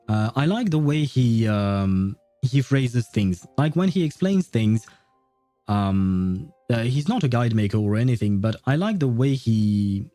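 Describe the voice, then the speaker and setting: A man talking casually to his viewers as a game livestreamer, in a halting, chuckly way. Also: mid-range voice, mellow, no echo. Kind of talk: casual chat with stream viewers. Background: music.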